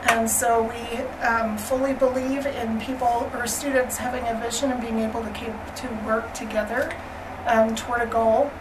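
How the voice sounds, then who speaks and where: A woman with a voice going hoarse gives a friendly, chatty slide presentation at a school board meeting, with many ums and throat clearing. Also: a little high, normal speed. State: losing her voice, hoarse. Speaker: elementary school staff presenter. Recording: distant room mic with steady noise.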